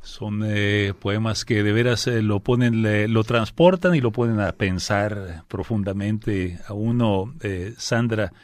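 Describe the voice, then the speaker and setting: Lowish-voiced man hosting a literary interview. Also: slow pace, few pauses. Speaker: radio interview host. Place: studio.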